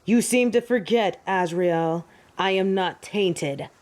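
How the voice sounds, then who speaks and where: A woman's voice: mid-range voice, slow, darkish tone, lightly acted. A woman playing a proud fallen angel in an audio drama, with haughty, theatrical and formal delivery. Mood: defiant, indignant. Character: proud, defiant fallen female angel of judgement. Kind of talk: scripted audio drama acting.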